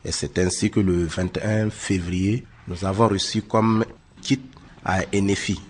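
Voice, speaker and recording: A man's voice: deep voice, steady tone, unhurried, slight background noise. A man with a Burkinabe accent, an aid committee president giving a factual, methodical interview report. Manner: methodical listing of figures.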